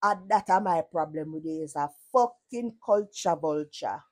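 A woman's voice: low voice, animated, normal speed, a little mellow. Blunt Jamaican woman mocking a video. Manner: sarcastic, blunt, swears freely in patois. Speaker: reaction commentator. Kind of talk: mocking reaction commentary.

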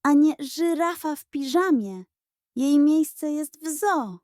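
A lively, cheeky little girl from a children's storybook, acted out by a woman. Her voice sits high and she talks in incredulous, colloquial bursts. The sound is close and dry. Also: slow, dry recording. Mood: disbelieving.

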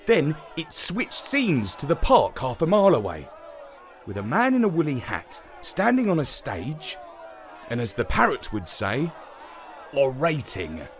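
A man voicing a good-natured space dog who narrates a children's bedtime story in a wry, expressive way. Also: on the high side, unhurried, some chatter behind. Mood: storytelling. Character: good-natured male space-dog telling his own tale.

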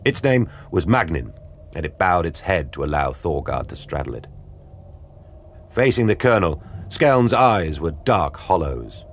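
A man narrating an audio drama in a grave, measured storytelling style. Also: low-pitched, very animated, slight background noise. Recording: studio narration over music. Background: dramatic music.

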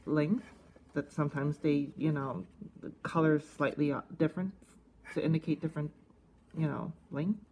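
A woman giving casual, unscripted hands-on review commentary in a halting, conversational way, thinking aloud. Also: low-pitched, darkish tone. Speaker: host of a cassette collecting video channel. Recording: faint background noise, casual tablet recording.

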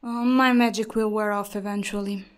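A woman playing a serene, kindly nature goddess in a fantasy audio drama, gracious and unhurried. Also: medium pitch, steady tone, smooth, soft background music, natural voice.

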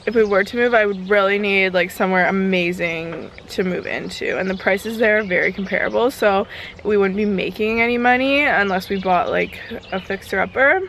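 A young woman talks in an informal, rambling vlog style. Her voice sits at a medium pitch. She is recorded outdoors at arm's length, with light background noise around her. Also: normal speed, a bit bright. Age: 20s. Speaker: lifestyle vlogger. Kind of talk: casual vlog chat. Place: mostly outdoors in a leafy backyard, briefly a home kitchen. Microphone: camera at arm's length.

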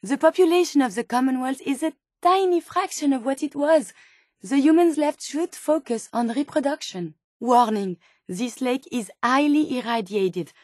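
A woman's voice: high voice, moderate pace, darkish tone, no room sound, studio quality. A woman voicing a polite, curious female robot scientist in a video game, speaking formally and precisely with naive wonder. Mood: earnest, analytical. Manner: formal, precise wording with wide-eyed wonder. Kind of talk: scripted game companion dialogue.

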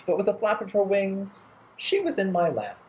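A man in his thirties narrating a children's storytime playfully and theatrically, with deliberate phrasing. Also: sits high, big pitch swings, a little mellow, slight background noise. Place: bright living room indoors.

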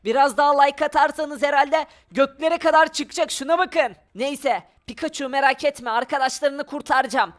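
Woman, very high voice, playful gaming commentary, exclamatory, step-by-step narration. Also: moderate pace, hardly any pauses. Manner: exclamatory, narrates each move aloud. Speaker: gaming video player. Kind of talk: playful Minecraft adventure commentary.

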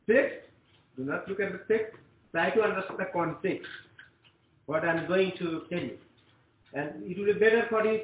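A man whose first language is Bengali, lecturing a class in an earnest, encouraging way and often repeating himself. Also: high voice. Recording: echoey room, tinny phone-like sound.

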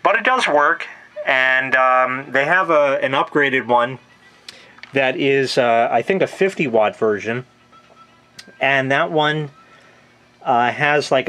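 A middle-aged man with an American accent talks through a product in an offhand, plain-spoken way. His voice sits at an average pitch. He is recorded on a handheld camera in a room at home, in the casual style of a hobby reviewer. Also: fairly bright tone. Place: small room at home, laundry area. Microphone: handheld camera mic, close behind the camera.

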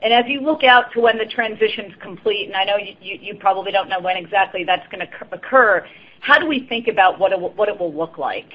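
A woman's voice: mid-range voice, fast talker, slightly rough. A woman working as a financial analyst, putting a question on an earnings conference call over a phone line.

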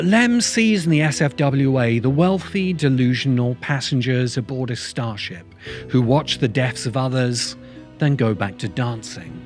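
A middle-aged man narrating a scripted video essay in measured, emphatic, deliberate phrases, close to the microphone. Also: mid-range voice. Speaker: host of a science fiction video essay channel. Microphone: large microphone close in front of him.

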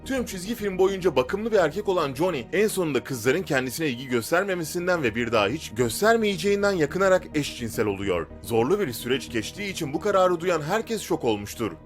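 Male narrator, scripted countdown read, highly animated pitch, music underneath. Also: fairly quick, few pauses.

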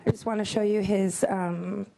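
A woman, a new parent, giving a nervous, hesitant public comment with many pauses. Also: medium pitch, steady tone, gravelly, warm tone. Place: state hearing room.

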